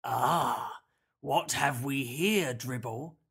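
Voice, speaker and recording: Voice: medium pitch, very slow, vocal fry, a bit bright, husky, exaggerated voice. A narrator voicing a menacing, skinny grey man who sneers and growls as he gloats in a children's story. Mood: gloating. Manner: sneering, growling, repeats himself in gloating tags.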